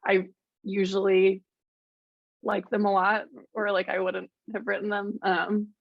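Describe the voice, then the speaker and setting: A soft-spoken woman, a musician guest in a casual interview, talking hesitantly with many fillers and restarts. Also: medium pitch, flat delivery, measured pace, vocal fry, no room sound, lo-fi sound. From Richmond, Virginia, United States.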